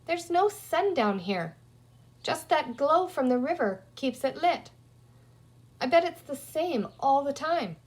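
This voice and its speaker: A woman's voice: high voice, big pitch swings, slow pace, pitched above the performer's own voice, heavily acted. A woman voicing a bossy, resourceful young girl in a children's story, talking emphatically as she reasons aloud. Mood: impatient. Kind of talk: children's storybook character reading.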